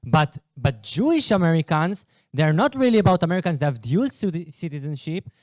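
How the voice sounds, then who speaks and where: A man's voice: on the high side, big pitch swings, fairly quick, a little mellow, no echo, studio quality. A man in the audience, earnestly explaining a point during a lecture discussion. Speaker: audience member. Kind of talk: question from the floor.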